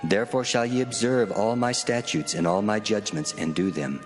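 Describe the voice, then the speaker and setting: Man as a very deep, reverberant voice of God. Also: normal speed, close to an everyday voice. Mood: solemn, majestic. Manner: solemn, authoritative. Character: God, deep solemn male divine voice of authority. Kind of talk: dramatized scripture reading. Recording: long hall reverb, faint music.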